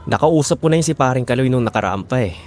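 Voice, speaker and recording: A man's voice: mid-range voice, lively intonation, fast talker, darkish tone, close to an everyday voice. A man acting as a struggling, steadfast husband and father, earnest and imploring, in an audio drama. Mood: discouraged. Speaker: voice actor.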